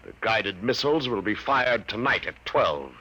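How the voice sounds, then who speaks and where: Give the listener a scheming, imperious High Minister in an old radio play. His low voice swings widely and has a forceful edge, with formal diction on a dull, noisy vintage recording. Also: animated, normal speed, breathy edge, full character voice. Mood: smug, menacing. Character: pompous, scheming High Minister of a subjugated Earth, male villain. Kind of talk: 1950s radio drama acting.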